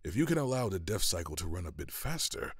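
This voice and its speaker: An actor voicing a proud, meddling god and brother, theatrical, persuasive and easily indignant, in an audio drama. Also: male voice, low-pitched, very animated, quick pace, slightly rough, dry recording, studio quality, exaggerated voice. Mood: scheming, persuasive.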